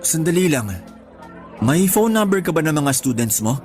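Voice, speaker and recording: A man's voice: fairly high pitch, very animated, normal speed, a little mellow, soft background music. A man playing a sincere male schoolteacher sweet on his colleague, earnest and conversational in a radio drama. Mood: defensive. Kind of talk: Tagalog radio drama acting.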